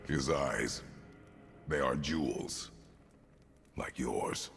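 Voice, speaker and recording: Stern warrior god, extremely deep, gravelly, terse and commanding, video game cutscene. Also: male voice, extremely slow, a bit bright, faint music behind, full character voice. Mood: gruff, guarded. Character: stern, battle-hardened warrior god and father.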